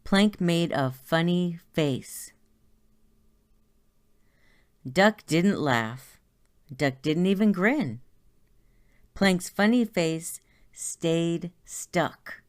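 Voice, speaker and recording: A woman reading a children's story aloud in a deliberate, expressive way. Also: lowish voice. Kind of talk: read-aloud story narration.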